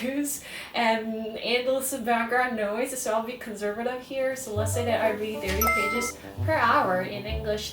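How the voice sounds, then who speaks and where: A Korean woman with a Korean accent chats in English, thinking aloud in a relaxed vlog manner. Her voice sits a little high and sounds roomy and set back from the mic. Music plays clearly behind her. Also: recorded from a distance. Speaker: vlogger. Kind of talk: casual vlog talk.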